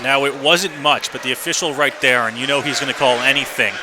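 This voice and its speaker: A man calls live hockey play-by-play on the radio at a medium pitch. Clear rink noise sits behind his voice throughout. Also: brisk. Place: indoor ice rink. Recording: noisy live arena radio broadcast. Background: loud rink ambience and noise.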